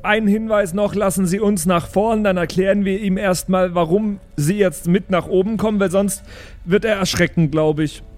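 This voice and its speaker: A man playing a polite, diplomatic adventurer with measured, careful speech in an improvised audio play. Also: high-pitched, steady tone, darkish tone, faint music behind, close to an everyday voice. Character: polite, diplomatic male adventurer negotiating contracts.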